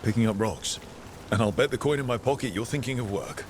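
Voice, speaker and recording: Young male adventurer, played by an actor, loyal and lightly teasing, fantasy game, medium pitch, rain and street noise behind. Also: fairly quick, natural voice. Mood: teasing, curious. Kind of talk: video game voice acting.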